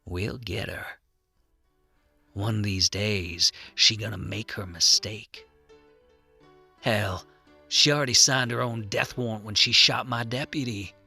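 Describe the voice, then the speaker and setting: A man's voice: on the low side, unhurried, gravelly, pauses often, sharp tone, husky, soft background music, exaggerated voice. A man playing a grim, dogged sheriff in an Old West audio drama, folksy and stern. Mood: grim resolve.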